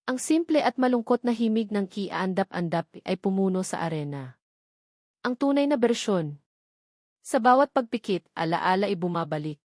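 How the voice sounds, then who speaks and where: Female narrator, scripted dramatic storytelling, mid-range pitch, dry close sound. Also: animated, moderate pace, a little mellow, no echo.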